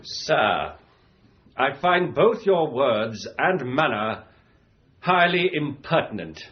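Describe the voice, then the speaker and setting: Severe magistrate, high-pitched, very deliberate pace, pompous period radio drama. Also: male voice, lo-fi sound. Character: stern, indignant Edwardian English magistrate. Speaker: actor in a radio play.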